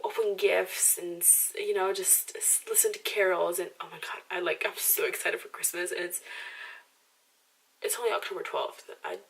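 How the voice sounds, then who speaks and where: A woman's voice: fairly low pitch, vocal fry, fairly bright tone, breathy edge, recorded from a distance, poor audio. A woman in her twenties with a slight Romanian accent, chatting to camera in a rambling, self-deprecating way full of "like" and restarts. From Romanian background. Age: 20s. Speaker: vlogger. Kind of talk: casual chatty monologue to camera.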